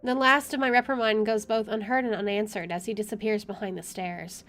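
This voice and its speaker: A woman reading a visual novel aloud as a gamer, expressive and opinionated in her delivery. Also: mid-range voice, a little fast. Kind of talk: reading game narration aloud with casual commentary.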